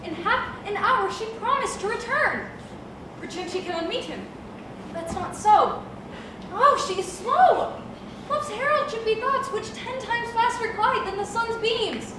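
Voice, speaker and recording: Actress as eager young heroine of a Shakespeare romance; emphatic, coaxing, very high voice, heard at a distance in a reverberant space. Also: female voice, lively intonation, measured pace. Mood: impatient longing. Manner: theatrical, pleading, emphatic declamation. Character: eager, impatient lovestruck young noblewoman. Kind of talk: Shakespearean stage acting. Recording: distant, very echoey room with background noise.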